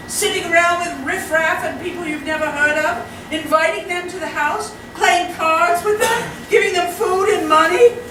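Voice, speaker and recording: A woman playing a flustered, indignant middle-aged society mother in a comic stage play, fretful and emphatic in her complaints. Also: very high-pitched. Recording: echoey, very noisy hall from far off.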